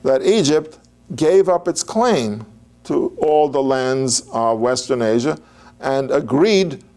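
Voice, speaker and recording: Older guy with a gravelly voice, rambling through a history lecture, lots of uh's. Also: medium pitch, lively intonation, takes it slowly, darkish tone. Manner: digressive, conversational, with many fillers and restarts. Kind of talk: informal lecture.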